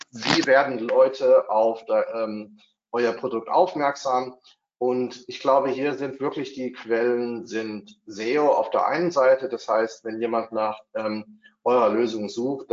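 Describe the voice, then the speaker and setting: A bilingual man giving a webinar talk, hesitant, with many fillers and restarts. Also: mid-range voice. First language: bilingual, not only German. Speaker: webinar speaker on developer marketing. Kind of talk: webinar presentation. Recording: roomy, dull lo-fi webinar audio.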